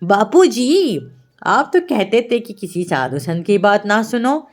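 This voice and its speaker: Woman doing teenage boy thief, honest, earnest, plain-spoken, animated intonation, storybook. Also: medium pitch, takes it slowly. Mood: earnest, explaining. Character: honest, earnest young male thief, aged sixteen.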